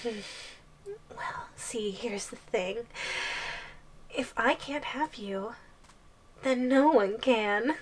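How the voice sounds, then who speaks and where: A woman acts out a gleeful, possessive giantess in an ASMR roleplay. Her voice is high and girlish, drawn out very slowly as she toys with her prey, sweet on top and threatening underneath. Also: husky, heavily acted. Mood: menacing. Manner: sing-song taunting with giggles and drawn-out threats. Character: possessive giantess girlfriend, playful, menacing, gleefully taunting. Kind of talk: ASMR audio roleplay acting.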